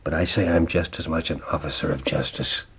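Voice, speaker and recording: A man acting in a radio drama as a grim, unrepentant killer making a dramatic confession, his phrases sometimes hushed at the end. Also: fairly even intonation, fairly quick, full character voice. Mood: defiant. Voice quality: very deep, gravelly.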